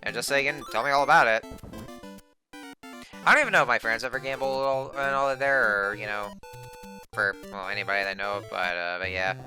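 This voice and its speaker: A hoarse young man giving casual, rambling commentary while playing a video game, full of fillers and restarts. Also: mid-range voice, a little fast, pauses often, pushed and bright, rough recording. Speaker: gameplay commentator. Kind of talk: casual let's play commentary. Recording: game music playing behind the voice.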